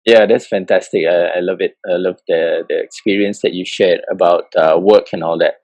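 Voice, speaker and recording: Male talk-show host, low and dry voice, stumbles through questions with eager praise. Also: fairly even intonation, a little mellow, no echo. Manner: halting, lots of "uh", enthusiastic affirmations. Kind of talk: interview hosting.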